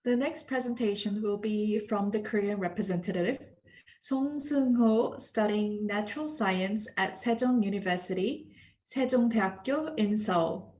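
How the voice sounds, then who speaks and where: A woman emceeing a forum, giving polite, measured introductions of the presenters. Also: medium pitch, darkish tone, rough recording. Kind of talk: formal introductions.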